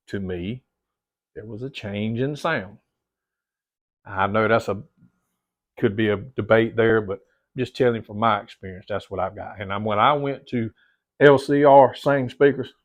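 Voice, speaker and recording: A middle-aged man, a little stuffy-nosed, chatting casually to camera in his home theater room and rambling, repeating words and calling his viewers "guys". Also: mid-range voice. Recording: dull lo-fi sound.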